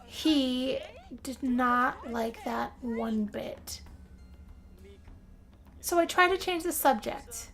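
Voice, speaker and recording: A woman telling a first-person role-play story as game narration, theatrical, with drawn-out phrases and pauses. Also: high voice, fairly bright tone. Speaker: gameplay narrator.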